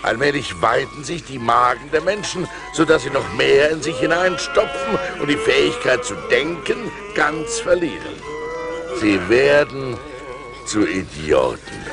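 A man's voice: mid-range voice, sing-song, a little slow, vocal fry, over music. An actor playing an adventurous seafarer recounting his voyages in a theatrical, precise German audio-play style, on a vintage vinyl record. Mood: grave, dramatic. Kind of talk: dramatic scripted storytelling, audio play.

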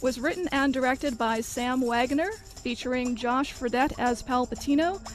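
A woman's voice: high voice, normal speed, over music. A young woman reading scripted announcements as host of a live student radio broadcast. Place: college radio studio.

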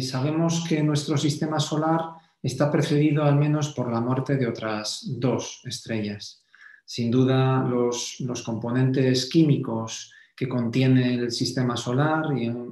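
A man presenting a slide lecture in a didactic, measured way with pauses. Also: medium pitch, level tone, a little mellow. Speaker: Jesuit speaker giving a conference talk. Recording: roomy, echoey voice pickup.

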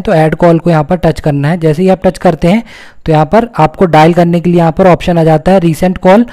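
A man's voice: sits high, dark tone. A man with an Indian accent narrating a step-by-step phone tutorial, explaining things simply and repeating his points. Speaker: tutorial host.

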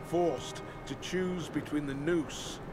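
Stern old commander, slow and grave. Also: male voice, a little high, fairly even intonation, very noisy. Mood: solemn, stern. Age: older. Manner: grave, deliberate, oratorical. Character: stern old lord commander addressing his sworn brothers. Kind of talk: solemn scripted speech in a fantasy game. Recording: game audio with clear background ambience.

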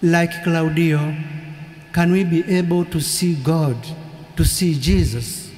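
A middle-aged priest delivers a funeral homily at a very unhurried, deliberate pace, his tone mellow and soft-edged. His voice rings out through a large cathedral with a long, lingering reverb. He speaks into a lectern microphone, leaving long pauses between phrases. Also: fairly high pitch, steady tone. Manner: slow, measured preaching with long pauses. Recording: echoey cathedral from far off.

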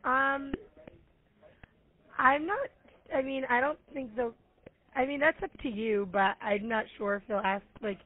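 A woman's voice: high-pitched, a little slow, pauses often. A woman on a telephone line handling a customer call, polite and hesitant. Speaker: phone receptionist. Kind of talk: unscripted customer service call. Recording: narrow, muffled telephone line.

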